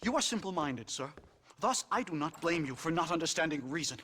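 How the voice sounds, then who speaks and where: Man playing a high-pitched, stammering eccentric professor. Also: animated, brisk, background noise, lightly acted. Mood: agitated, fervent. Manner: stammering, pompous, flighty, condescending. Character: eccentric pompous academic professor, excitable and twitchy. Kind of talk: theatrical video game acting.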